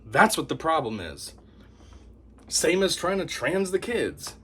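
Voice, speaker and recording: A man giving an opinionated monologue to camera as a commentator, talking in a scornful, animated way. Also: a little high, unhurried, husky. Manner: animated, scornful, mocks opponents in put-on voices. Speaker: YouTube commentator.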